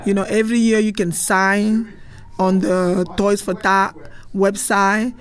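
A woman's voice: medium pitch, level tone, slow. A woman from Cameroon, president of a community association, speaking plainly in a casual interview.

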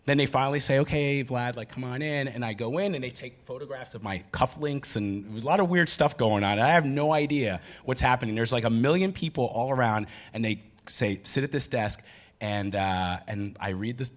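Guy moderating a stage panel, chatty and upbeat, mid pitch. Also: brisk. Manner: lively, chatty, laughing anecdotes. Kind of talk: casual panel talk. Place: stage before a live audience.

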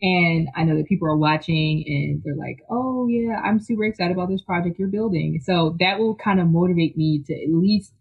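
A person from Philadelphia, a guest talking in an enthusiastic, chatty way full of "like" and quick laughs. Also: medium pitch, steady tone, creaky, slightly rough, narrow, tinny audio. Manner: enthusiastic, chatty, filler-heavy, laughs often. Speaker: guest, technical writer. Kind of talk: casual interview chat.

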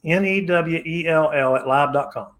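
A man's voice: a little high, normal speed, fairly bright tone. Repetitive, preachy older man in his sixties. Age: 60s. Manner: repetitive, preachy, restarts phrases. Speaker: podcast guest. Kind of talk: motivational interview talk.

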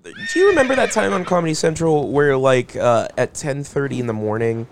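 A man's voice: fairly high pitch, lively intonation, a little fast, a little hiss or hum. A man co-hosting a comedy podcast, rambling through a story with many fillers, restarts and repeated words. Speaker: podcast co-host.

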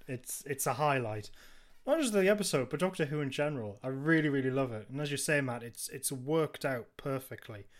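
Mid-pitched man in casual chat. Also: lively intonation, brisk. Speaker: podcast co-host.

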